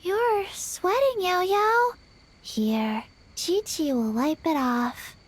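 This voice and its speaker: Slow, deadpan little girl. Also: very high voice. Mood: flat, earnest. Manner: deadpan, third-person, halting. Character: small, earnest, forgetful zombie child girl, slow and flat. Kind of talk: video game voice acting.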